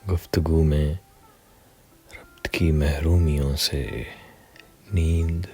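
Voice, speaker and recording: Very deep, slow male poetry reciter. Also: flat delivery, a little mellow, faint music behind. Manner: measured, deliberate, pausing between short phrases.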